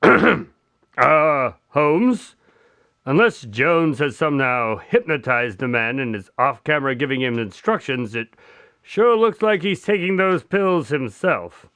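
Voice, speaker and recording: A man acting a skeptical, easily exasperated doctor and detective's sidekick in a radio drama, with a wry, measured delivery. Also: fairly high pitch, animated, fairly bright tone, clean tone, no echo, studio quality, exaggerated voice.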